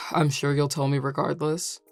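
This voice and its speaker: Woman voicing a low, grumpy male bartender. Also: flat delivery, measured pace, no room sound. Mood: defensive, annoyed. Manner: curt, irritated, sweary. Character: grumpy, defensive tsundere male bar worker. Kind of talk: scripted audio roleplay.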